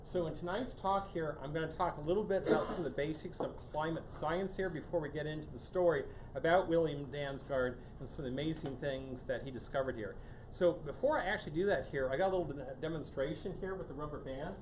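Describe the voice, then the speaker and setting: A man lecturing a small room in a loose, rambling way, restarting sentences and leaning on the word "here." His voice sits fairly high, and it is picked up from a distance in an echoey room with steady background noise. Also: fast talker. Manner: casual, repeats "here" constantly, many restarts. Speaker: guest speaker on climate science. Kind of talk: live public lecture to a small audience. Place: meeting room with a live audience.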